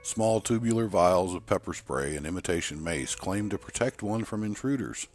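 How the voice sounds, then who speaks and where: A man's voice: deep voice, lively intonation, moderate pace. A man reading a story aloud as dramatic, scripted narration. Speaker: storyteller narrating horror fiction.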